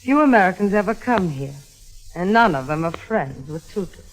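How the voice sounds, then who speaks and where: A woman's voice: lowish voice, expressive, moderate pace, close to an everyday voice, low-quality recording. A woman playing a cool, flirtatious nightclub singer in a 1950s radio drama, coy and suggestive. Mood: coy, probing.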